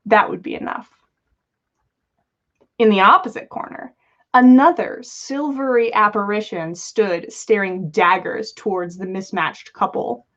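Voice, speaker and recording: A woman's voice: fairly high pitch, dull audio. A woman reading a story aloud for a livestream in an amused, dramatic manner, with small slips. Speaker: podcast host reading listener fan fiction.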